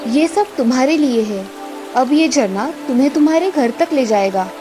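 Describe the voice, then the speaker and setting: A woman's voice: high-pitched, expressive, normal speed, over music. A woman voicing a kindly old forest grandmother in a children's cartoon story. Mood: gentle, caring.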